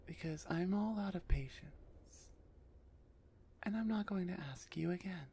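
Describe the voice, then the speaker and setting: A woman voicing a gruff female minotaur executioner in an ASMR roleplay, husky and often half-whispered, impatient and sardonic with her captive. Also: lowish voice, a little slow, warm tone, slight background noise. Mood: threatening, impatient.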